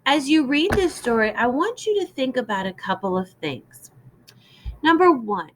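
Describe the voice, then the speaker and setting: A woman reads to young children as a teacher would in a lesson. Her pitch moves up and down in a lively way, and she takes her time with each phrase. The delivery is deliberate and instructive. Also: a little hiss or hum. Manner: instructive, deliberate, prompting listeners with questions. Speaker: teacher reading a story aloud. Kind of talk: read-aloud lesson for young children with explanations and questions.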